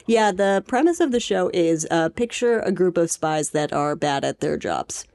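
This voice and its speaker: Lower-voiced young woman podcaster. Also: lively intonation, moderate pace, darkish tone. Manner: informal, explanatory, swears casually. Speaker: podcast co-host. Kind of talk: casual chat.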